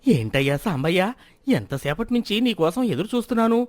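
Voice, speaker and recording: Man acting a fretful, high-pitched castle cook. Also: very animated, a little fast. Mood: panicked. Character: flustered, deferential castle cook, nervous servant. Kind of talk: Telugu moral story cartoon dialogue.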